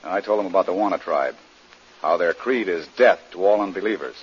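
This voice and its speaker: An actor playing a confident, alert adventurer hero in a 1940s radio adventure style. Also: male voice, low-pitched, brisk, slightly rough. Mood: earnest explaining. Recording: muffled vintage 1940s radio recording.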